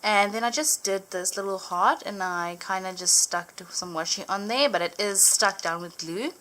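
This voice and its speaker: A young woman whose first language is Afrikaans, chatting casually as she flips through her journaled Bible, full of little fillers and gentle enthusiasm. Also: medium pitch, normal speed, poor audio. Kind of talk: casual show-and-tell narration. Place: indoors at a table, hands over an open book.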